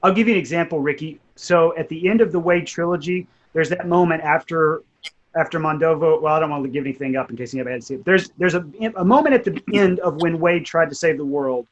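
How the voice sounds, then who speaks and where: A man's voice: on the high side, fairly quick, dull audio. A man speaking bluntly and emphatically in a casual, informal interview chat. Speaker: writer being interviewed. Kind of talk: casual chat about craft.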